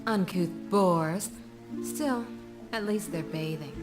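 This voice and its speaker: A woman's voice: on the low side, takes it slowly. An actress playing a snobbish aristocratic lady in a comic video game, drawling with disdain. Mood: disdainful. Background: music.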